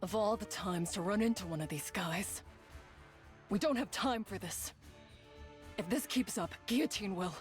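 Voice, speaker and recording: A woman's voice: mid-range voice, moderate pace, pauses often, soft background music, lightly acted. A woman playing a serious, weary young female soldier, earnest and plain-spoken, in an English game dub. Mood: urgent, determined.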